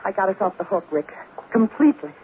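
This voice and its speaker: A woman's voice: medium pitch, very animated, quick pace, background noise, natural voice. An actress playing a scheming, cold-blooded woman in 1940s radio drama, on a muffled old recording. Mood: smug, triumphant.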